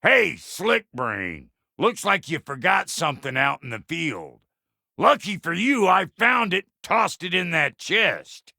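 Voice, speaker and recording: A man playing a gruff, foul-mouthed dwarf blacksmith in a video game, with a rough voice, an American accent and a crude, folksy, blunt way of talking. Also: a little high, big pitch swings, slow, bright, forceful tone, no room sound, clean studio sound, full character voice. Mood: cranky, brisk. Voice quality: gravelly, rough. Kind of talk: video game character voice acting.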